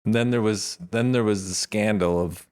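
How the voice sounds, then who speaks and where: Joking podcast co-host, laughs a lot. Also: male voice, fairly low pitch, normal speed, a little mellow, clear, resonant tone. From Canada. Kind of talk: casual comedic chat.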